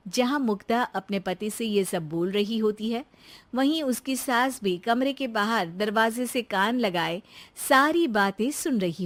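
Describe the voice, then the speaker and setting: A woman narrator reading a scripted moral story. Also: a little high.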